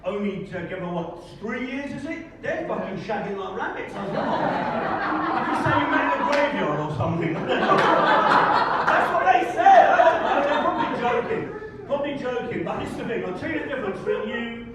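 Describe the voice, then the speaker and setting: A man's voice: extremely high pitch, big pitch swings, normal speed, heavy background noise. A man with an English accent doing live stand-up, cheeky and sweary as he teases the crowd. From England. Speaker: stand-up comedian. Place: comedy venue with live audience. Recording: echoey room, far from mic, loud crowd.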